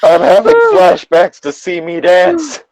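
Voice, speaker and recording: A man's voice: sits high, very animated, a little slow, a little mellow. A man co-hosting a comedic fan fiction reading, moving between narration and sardonic, incredulous casual banter.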